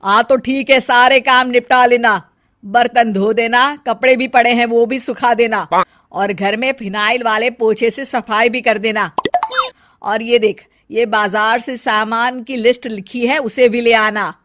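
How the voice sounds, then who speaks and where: A woman's voice: on the high side, steady tone, fairly bright tone. A woman voicing a bossy, suspicious middle-aged village mother-in-law in a cartoon story, full of orders and nagging. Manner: brisk orders, scolding, nagging. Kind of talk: Hindi cartoon story dialogue.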